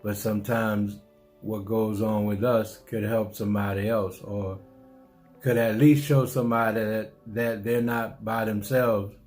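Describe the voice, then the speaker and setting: Middle-aged New Orleans man, low and even delivery, reflective vlog storytelling, faint music underneath. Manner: unhurried, musing, repeats himself with restarts. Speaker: vlogger telling his life story.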